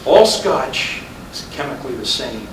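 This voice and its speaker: A man's voice: mid-range voice, big pitch swings, a little slow. A man acting a weary, wry middle-aged policeman husband in a staged reading of a play. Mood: irritated. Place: indoor live reading at a college. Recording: echoey room from far off, noisy.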